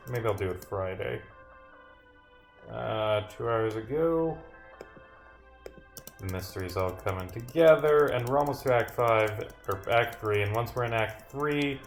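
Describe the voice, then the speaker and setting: A man commentating on his own livestream as a game developer, rambling with muttered asides, self-corrections and casual swearing. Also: fairly low pitch. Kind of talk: livestream commentary, reading game dialogue aloud.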